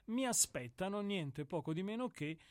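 A man chatting casually as a radio studio guest, halting and repeating his words. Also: high-pitched, animated, a little mellow, no room sound.

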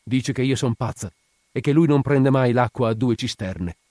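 A man's voice: mid-range voice, normal speed, warm tone, no room sound, studio quality, voice pushed up, natural voice. A man voicing an anguished, fiery married woman in a story, pleading and bitter with remorse. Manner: pleading, impassioned, vehement outbursts. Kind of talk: dramatic audiobook character reading.

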